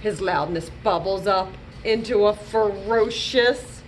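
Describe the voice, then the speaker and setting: A woman reading a storybook aloud to young children, deliberate and expressive, leaning on key words. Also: medium pitch, a little hiss or hum. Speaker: children's librarian, storytime reader.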